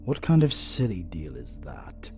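A man's voice: extremely low pitch, wildly swooping pitch, gravelly, faint music behind, exaggerated voice. A British man playing a flirtatious, sardonic supervillain in a romantic comedy audio roleplay, drawling and teasing theatrically. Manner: slow, drawling, teasing, dramatic.

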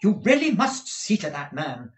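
A man's voice: mid-range voice, sing-song, a little slow, fairly bright tone, reverberant, recorded from a distance, pitched above the performer's own voice. A man voicing a bossy, imperious businesswoman and wife from a period novel, with an exclamatory, scolding manner. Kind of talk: audiobook character voice from a period novel.

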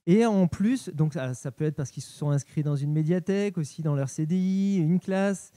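Very mellow, high-voiced man presenting. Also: normal speed, no echo. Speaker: co-host, librarian. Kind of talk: casual live-stream presenting. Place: small decorated indoor stage set. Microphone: handheld microphone, speaking through a face mask.

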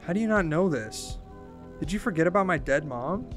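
A man's voice: a little high, expressive, normal speed, soft background music, close to an everyday voice. A man voicing a bitter, exasperated young woman from a game, with a blunt, sarcastic, scolding manner. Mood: bitter anger.

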